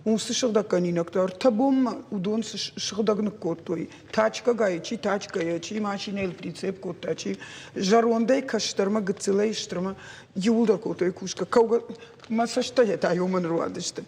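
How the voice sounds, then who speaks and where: A native Ossetian-speaking man with a husky voice, giving a halting, unscripted account. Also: sits high, a little mellow. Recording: very echoey, reverberant room.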